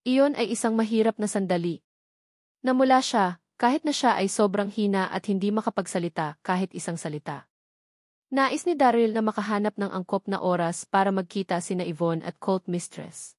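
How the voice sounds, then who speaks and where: A woman's voice: medium pitch, moderate pace, darkish tone, dry recording. Woman narrating a story, very dry sound. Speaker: audiobook narrator.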